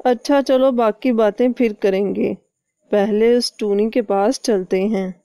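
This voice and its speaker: A woman's voice: fairly high pitch, fairly even intonation, measured pace, warm tone, smooth, no echo, clean studio sound. A woman voicing a helpful, gullible male crow in a cartoon, with a trusting, conversational manner.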